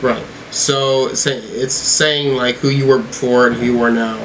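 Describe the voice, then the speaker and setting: A man talks casually through a music review, thinking aloud as he interprets lyrics. His delivery is hesitant and tentative, at a middling pitch. A faint steady hum sits behind his voice. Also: unhurried, poor audio. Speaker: music reaction video host.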